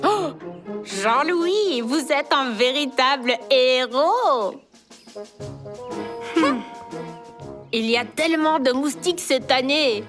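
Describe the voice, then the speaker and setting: Fussy high-voiced grandmother. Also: expressive, slow pace, pauses often. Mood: admiring, fretful. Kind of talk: cartoon dub acting. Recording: music under the voice.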